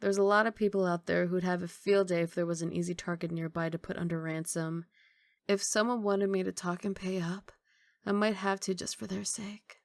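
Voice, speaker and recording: Casting: actress as wistful female mafia boss girlfriend for ASMR roleplay. Soft, gravelly, guarded but loving, very dry close sound. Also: fairly low pitch, steady tone, a little fast, no room sound. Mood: guarded, matter-of-fact. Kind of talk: intimate ASMR roleplay monologue.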